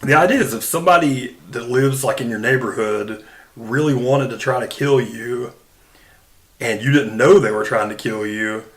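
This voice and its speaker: A man's voice: mid-range voice, moderate pace, fairly bright tone, poor audio. A man hosting a podcast, talking casually and emphatically in an informal, conversational way. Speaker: podcast host. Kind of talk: casual film discussion.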